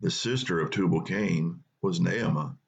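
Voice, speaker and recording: A man hosts a Bible study and reads scripture in a plain, unhurried way. His morning voice sits very low, husky and gravelly. The recording sounds dull and lo-fi. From North Carolina. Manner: unhurried, plain reading with small restarts. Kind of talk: reading scripture aloud.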